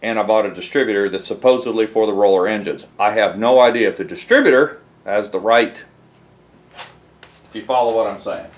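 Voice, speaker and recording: A man's voice: on the low side, a little fast. A man hosting a car rebuild video, talking casually and rambling, correcting himself as he goes and sounding stuffed up from a head cold. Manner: rambling, self-correcting, talks while hunting through boxes. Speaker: home mechanic video host. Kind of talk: casual garage vlog.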